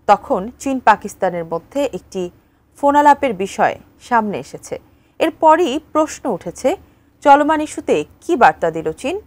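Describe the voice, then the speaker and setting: A woman news presenter with a Bangladeshi accent reads a scripted introduction to a report. Her voice sits at an ordinary middle pitch for a woman.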